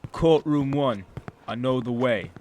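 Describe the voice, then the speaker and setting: A man's voice: medium pitch, measured pace, darkish tone, a little hiss or hum, lightly acted. An actor playing a determined young male hostage-taker with a gun in an audio drama. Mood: curt. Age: young adult. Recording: music clearly behind the voice.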